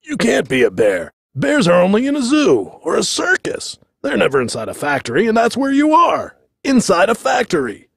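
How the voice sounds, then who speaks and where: A man's voice: sits high, wildly swooping pitch, moderate pace, vocal fry, few pauses, breathy edge, no room sound, studio quality, pitched above the performer's own voice, heavily acted. A man voicing a pompous, exasperated company president in a storybook, lecturing with condescending emphasis. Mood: condescending lecture.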